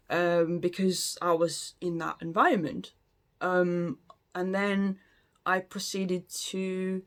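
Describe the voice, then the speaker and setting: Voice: medium pitch, a bit bright. Vlogger, late 30s, lots of ums and restarts, chatty and unscripted. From Latvia. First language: Latvian. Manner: hesitant, many ums and restarts. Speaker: language learning vlogger. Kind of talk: casual talk to camera.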